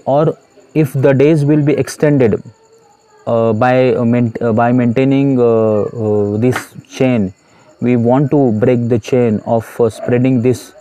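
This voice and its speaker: A man's voice: mid-range voice, mellow, low-quality recording. A man with an Indian English accent gives an earnest motivational talk to his students as a YouTube host, with many hesitations and repeated phrases. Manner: earnest, repetitive, many "uh" pauses.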